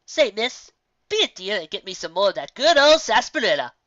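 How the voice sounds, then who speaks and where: A woman's voice: medium pitch, sing-song, fairly quick, a bit bright, dry recording. Lady doing a chatty old coot of a country woman, folksy storybook character, dry muffled sound. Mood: cheerful. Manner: folksy, rambling, drawling country talk.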